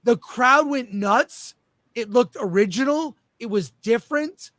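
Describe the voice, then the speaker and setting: A man hosting a casual panel chat, telling things in an enthusiastic, halting way. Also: extremely high pitch, animated, sharp tone, dry recording. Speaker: host.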